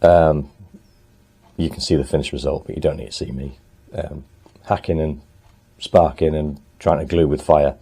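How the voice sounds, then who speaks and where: A man talking casually to the camera in his home workshop, thinking aloud as he works, a little hoarse. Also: rumbling low, steady tone, moderate pace, warm tone. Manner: chatty, thinks aloud while working, frequent ums. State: slightly hoarse, clearing throat. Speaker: motorcycle restorer and channel host.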